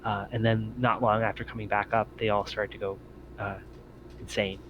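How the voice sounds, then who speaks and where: A man narrating a tabletop roleplaying game as game master, with a halting, hesitant delivery. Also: on the low side, flat delivery, highs cut off. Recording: faint background noise.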